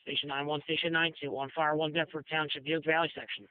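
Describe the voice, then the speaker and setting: A tinny, narrowband radio transmission carries a man's fairly high voice. He is a fire dispatcher making a formal announcement in clipped, formulaic phrases. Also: level tone, fast talker, clear, resonant tone, dry recording.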